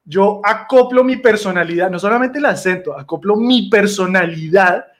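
A man's voice: high-pitched, normal speed. A man with a Bogotá Colombian accent hosting a podcast, chatting casually and swearing freely. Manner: animated, profane, jumps into impressions. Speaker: podcast host. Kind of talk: casual comedic chat.

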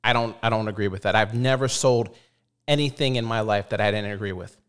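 A man's voice: fairly low pitch, fairly quick, a bit bright, clean tone. Blunt, opinionated man in casual chat.

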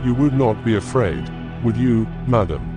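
An actor playing a calm, determined Victorian gentleman traveller in an audiobook, speaking placidly and concisely. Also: fairly low pitch, normal speed, natural voice. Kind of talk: dramatized audiobook character acting. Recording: clear music bed behind voice.

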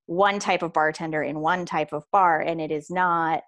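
Lower-voiced woman in reflective chat. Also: fairly even intonation, moderate pace, fairly bright tone. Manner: reflective, laughs often, trails off with fillers. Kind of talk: casual conversation.

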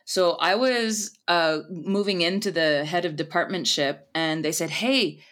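Woman, podcast co-host, lowish pitch, eager, conversational. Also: unhurried, a bit bright. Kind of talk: casual podcast chat.

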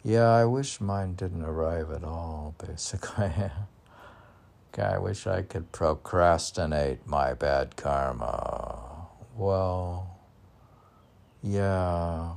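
A man's voice: low-pitched, very slow, creaky, darkish tone. A man giving an informal reading of his own poetry with rambling asides, musing and questioning himself aloud as he repeats lines. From United States. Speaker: poet reading and commenting on own poems. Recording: faint background noise.

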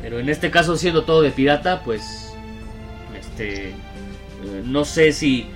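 A man hosting a casual talk, reading out listener questions in a relaxed, filler-filled way. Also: a little high, measured pace, faint music behind. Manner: relaxed, filler-heavy, conversational. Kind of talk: casual interview chat.

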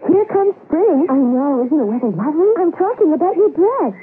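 A woman's voice: high voice, expressive, a little fast, narrow, tinny audio, over music. A woman delivering a scripted radio advertisement as a store spokeswoman. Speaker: commercial spokeswoman. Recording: thin 1970s radio recording.

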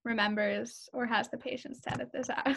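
A woman's voice: fairly high pitch, steady tone, a little fast, vocal fry, background noise. A young woman co-hosting a podcast over a video call, casual and giggly. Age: young adult. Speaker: podcast co-host.

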